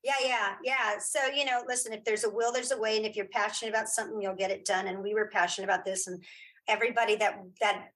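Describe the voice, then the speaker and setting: A woman's voice: medium pitch, fairly even intonation, quick pace, a bit bright, rough recording. A woman speaking as a guest in a casual interview, chatty and informal, often restarting her sentences. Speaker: interview guest, audio drama creator.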